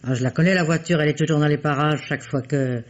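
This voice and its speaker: An actress playing a nosy, talkative concierge in a French radio mystery, colloquial and quick to justify herself. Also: female voice, deep voice, lightly acted. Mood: gossipy, guarded. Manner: colloquial, gossipy, self-justifying. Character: nosy, gossipy female concierge, talkative and defensive. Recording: dull lo-fi radio recording.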